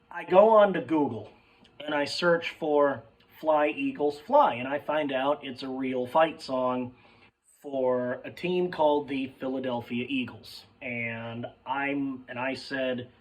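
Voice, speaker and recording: A young man with a hoarse, cracking voice telling a rambling, sidetracked story in casual chat, swearing freely and calling his listeners folks. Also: mid-range voice, distant mic, low-quality recording. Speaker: sports fan vlogger.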